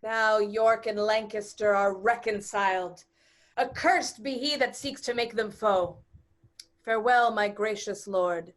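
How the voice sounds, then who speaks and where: A woman's voice: mid-range voice, unhurried, lightly acted. A woman playing a formal, proud duke in a Shakespearean verse drama. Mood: formal resolve. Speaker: actor in staged reading.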